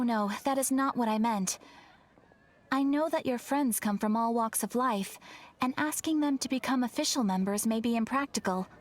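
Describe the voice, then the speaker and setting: A courteous young guild receptionist from a video game, played by a woman, with a fairly high voice. She is formal, composed and helpful, and some background ambience is audible behind her. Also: a little hiss or hum, lightly acted. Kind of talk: scripted video game character dialogue.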